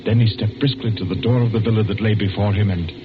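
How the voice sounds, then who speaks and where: A man narrating a scripted 1940s radio drama with deliberate, dramatic storytelling. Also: on the low side, steady tone, loud music behind the voice. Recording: narrow tinny 1940s radio recording.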